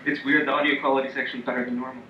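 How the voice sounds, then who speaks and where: A young man lining up callers for a show, chatty, filler-heavy, and quick to laugh. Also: mid-range voice, flat delivery, lo-fi sound. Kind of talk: casual chat. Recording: echoey room with faint noise.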